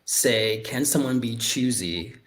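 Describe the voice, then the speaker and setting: A man's voice: medium pitch, slow pace, fairly bright tone. Man from Boston telling a reflective story. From Roxbury, Boston. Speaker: podcast co-host. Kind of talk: casual podcast conversation.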